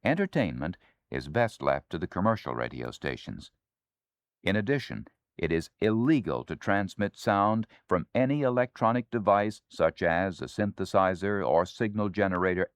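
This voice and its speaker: An American man reading scripted instructional narration in a formal, measured, authoritative manner. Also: low-pitched, dry recording. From United States. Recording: dry 1970s spoken-word LP.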